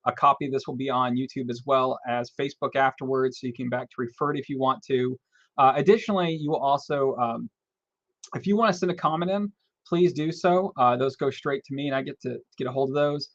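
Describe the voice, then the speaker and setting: A man's voice: mid-range voice, brisk, dry recording. A man hosting a live online panel, chatting loosely with rambling asides while he moderates. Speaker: host of a live panel stream. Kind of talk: casual moderating. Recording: muffled lo-fi, very dry.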